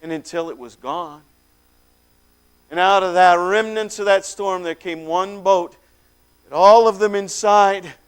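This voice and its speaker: Brief: high, smooth voice; a man as a once-possessed man, haunted and fervent, hushed to shouting. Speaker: dramatic monologue performer. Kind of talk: first-person dramatic monologue.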